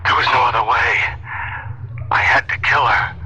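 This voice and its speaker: An actor playing a desperate, guilt-ridden husband on the telephone in a radio drama, hushed and halting. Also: male voice, medium pitch, fairly even intonation. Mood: despairing. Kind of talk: 1970s radio drama acting. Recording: noisy old radio recording.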